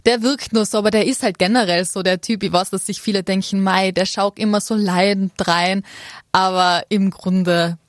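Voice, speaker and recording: A woman's voice: mid-range voice, fairly even intonation, moderate pace, dry recording, studio quality. A young woman in her twenties with an Austrian accent, chatting casually as an interview guest, often laughing and slipping in English words. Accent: Austrian German. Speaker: interview guest, content creator.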